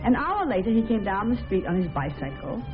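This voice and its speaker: A woman's voice: medium pitch, big pitch swings, fairly quick. A woman giving scripted dramatic narration on a tinny vintage radio recording, with music behind her.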